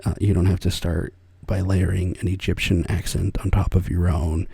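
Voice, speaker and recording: An American man talking soft-spoken for ASMR, often slipping into a whisper, rambling and correcting himself as he goes. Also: low-pitched, level tone, normal speed, creaky, husky, no echo. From United States. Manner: meandering, self-correcting, chuckles softly. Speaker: ASMR creator.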